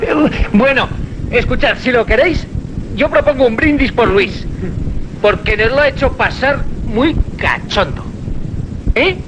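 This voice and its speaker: An actress playing an irreverent, jeering young student reveller in a radio drama, with a Castilian Spanish accent. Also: female voice, on the high side, expressive, a little slow, pauses often, background noise. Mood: rowdy, mocking. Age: young adult.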